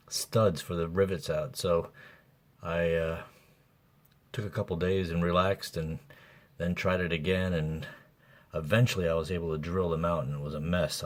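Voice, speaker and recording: A man with a deep voice talks through a repair in an informal way. He sounds like a hobbyist working things out aloud, with some hesitation between thoughts. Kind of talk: informal troubleshooting narration.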